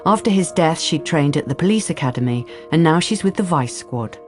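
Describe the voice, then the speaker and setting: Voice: medium pitch, expressive, brisk, natural voice. A narrator telling a crime story in a scripted, composed radio drama style. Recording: music under the narration.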